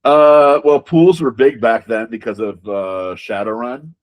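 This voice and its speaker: A man's voice: mid-range voice, measured pace. A man giving conversational interview answers as a game designer, emphatic, repeating words and often checking in with rhetorical questions.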